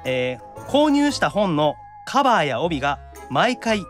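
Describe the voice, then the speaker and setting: A man's voice: a little high, big pitch swings, measured pace, a bit bright. A man with a Kansai accent hosting a podcast in casual comedic banter, laughing often and firing back playful mock-scolding retorts. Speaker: podcast host. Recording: music playing behind the voice.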